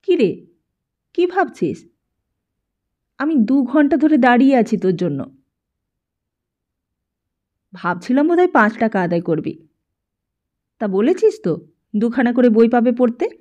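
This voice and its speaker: An audiobook character, played by a woman, a scornful teenage boy with a high, soft-edged voice. He badgers and interrogates, cynical and dismissive, on a slightly dull recording. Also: big pitch swings, unhurried, pauses often. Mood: impatient.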